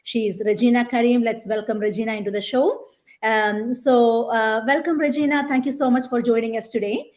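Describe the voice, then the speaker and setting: A woman's voice: fairly high pitch, steady tone, fairly quick, darkish tone. A woman hosting a live online interview, chatty with many fillers and restarts. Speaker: host.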